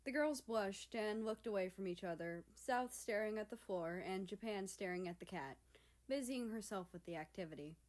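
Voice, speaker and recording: A woman's voice: medium pitch, normal speed, darkish tone. A woman narrating scripted fiction as a story reader.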